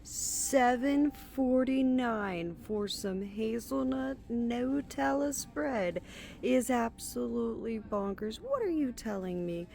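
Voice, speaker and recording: A woman's voice: a little high, lively intonation, slow, gravelly, mellow. A woman ranting incredulously to camera about prices. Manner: incredulous, exclamatory.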